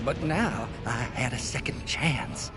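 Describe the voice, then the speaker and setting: An actor playing a tormented, fanatical evangelist preacher haunted by a demon, speaking a theatrical, solemn video game monologue. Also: male voice, medium pitch, slow, lightly acted. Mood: grave, fervent. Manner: theatrical, solemn sermon-like delivery. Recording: loud music under game cutscene audio.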